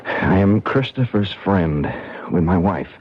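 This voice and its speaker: A man's voice: low-pitched, animated, slow pace. A man playing an earnest college teacher in a 1970s radio drama. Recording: muffled old radio broadcast.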